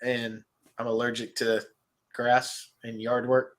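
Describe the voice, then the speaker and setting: A man hosting a relaxed stream, chatting and reading viewer comments, his voice nasal and stuffed up. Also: medium pitch, slow. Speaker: host. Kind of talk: casual livestream chat about toys.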